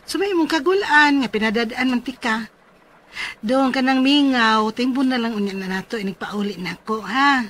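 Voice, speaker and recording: A woman's voice: on the high side, moderate pace, fairly bright tone. An actress playing a firm, worried thirty-two-year-old mother in a Cebuano radio drama. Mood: affectionate.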